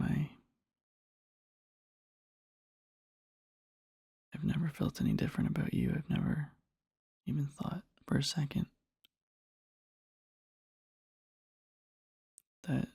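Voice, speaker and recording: A man playing a devoted, sleepy boyfriend in an ASMR roleplay, murmuring tenderly and haltingly in a husky whisper, close to the microphone. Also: medium pitch, wildly swooping pitch, dark tone, dry recording, exaggerated voice. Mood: adoring, earnest. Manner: slow, halting, rambling, tender. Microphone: close-up microphone, dry.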